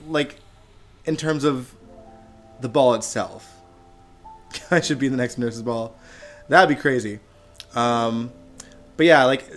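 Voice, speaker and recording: A man hosting a relaxed live stream, talking in a chatty, animated way and laughing easily. His voice sounds a little hoarse, and faint music plays quietly behind him. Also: mid-range voice. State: slightly hoarse. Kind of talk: casual live stream chat. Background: music playing faintly.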